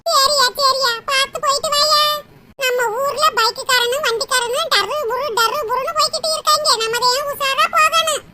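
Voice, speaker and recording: An older village mother-in-law with an extremely high, childlike voice and a Tamil Nadu village accent. She nags and fusses sarcastically in a comedy skit, over clearly noisy, clicking audio. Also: normal speed. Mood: fond, fussing. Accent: Tamil Nadu village dialect. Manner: sarcastic, nagging. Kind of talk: family comedy skit acting.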